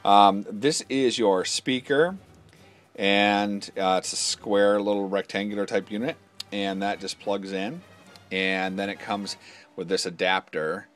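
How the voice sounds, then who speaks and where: A man with a low, forceful voice walks through a product demonstration in a casual, practical way. He explains each part step by step in an informal manner, with music behind him. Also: fairly even intonation, measured pace. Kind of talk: casual hands-on product walkthrough.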